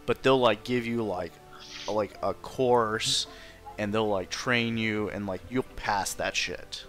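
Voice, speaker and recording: Bright-voiced man chatting on a stream. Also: lowish voice. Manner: loose, halting, slangy. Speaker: game streamer. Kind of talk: casual chat. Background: faint music.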